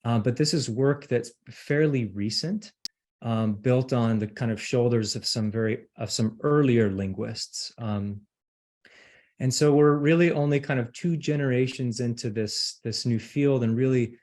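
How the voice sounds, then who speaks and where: A man's voice: medium pitch, measured pace, darkish tone. Hesitant middle-aged man giving a lecture. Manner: hesitant, many ums and uhs. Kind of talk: academic lecture.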